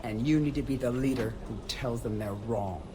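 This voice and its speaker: An actor playing a stern, noble alien clan leader in a video game, speaking with weight and resolve. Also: male voice, medium pitch, moderate pace, noisy background, close to an everyday voice. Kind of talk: video game character acting.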